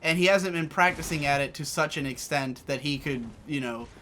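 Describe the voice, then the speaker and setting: A man's voice: fairly high pitch, a little fast, pushed and bright, slight background noise. A young man in his twenties chatting casually as co-host, rambling with restarts and casual swearing. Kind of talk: casual chat.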